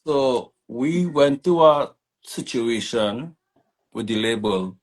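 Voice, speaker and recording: A man with a Trinidadian accent speaks at a middle pitch in an easygoing, laid-back tone. He is an artist manager telling his story in a casual interview. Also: animated, slow. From Trinidad and Tobago.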